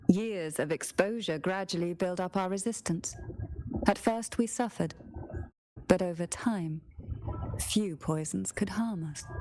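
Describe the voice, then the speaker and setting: Slow, low-voiced sinister seductress. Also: female voice, noisy background. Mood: cold, proud. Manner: slow, hushed, theatrical, menacing. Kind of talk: video game story voice acting. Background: background music.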